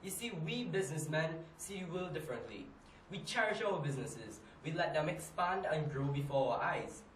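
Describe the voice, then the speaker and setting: A man's voice: on the high side, expressive, moderate pace, close to an everyday voice. A man with a Trinidadian accent playing a frustrated, weary businessman in an emphatic dramatic monologue. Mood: exasperated, fed up. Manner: emphatic, exasperated, with rhetorical questions. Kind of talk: dramatic monologue, amateur stage acting. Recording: echoey room, distant mic, faint noise.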